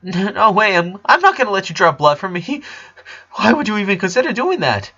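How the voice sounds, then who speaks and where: An anxious young boy from a cartoon story, played by a man, pitched up and tense. He stammers and pleads with urgency. The sound is slightly lo-fi. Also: very animated, fairly quick, bright, forceful tone, breathy edge, heavily acted. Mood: startled, worried. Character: Lincoln, anxious eleven-year-old boy, worried big brother. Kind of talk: dramatic reading of cartoon fan fiction.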